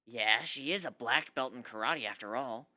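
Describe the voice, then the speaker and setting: A man's voice: mid-range voice, moderate pace, sharp tone, no room sound, voice pushed down. A man voicing an easily annoyed female griffin with a brusque, snappish manner in a story reading. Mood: puzzled.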